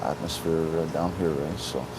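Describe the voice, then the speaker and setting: A man with a very low voice speaks in a documentary interview. He talks haltingly, filling pauses with "um" and restarting sentences. There is clear background noise around him. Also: steady tone. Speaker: inmate, chairman of a lifers group.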